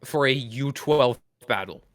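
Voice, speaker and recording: A man's voice: mid-range voice, unhurried, a bit bright, no room sound. A man co-hosting a podcast in casual, informal chat full of slang. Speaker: podcast co-host.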